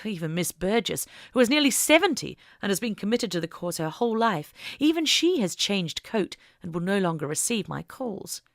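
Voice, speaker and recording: A woman narrator voicing an earnest, wry older suffragist with formal, measured phrasing in an audiobook. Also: medium pitch, very animated, creaky, no echo, studio quality. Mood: worried. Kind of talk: audiobook character dialogue.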